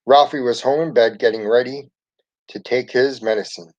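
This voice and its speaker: A man's voice: medium pitch, fairly even intonation, normal speed, a little mellow. Man reading a children's story, dull lo-fi sound. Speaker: storybook reader. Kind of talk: expressive children's story read-aloud. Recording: dull lo-fi recording.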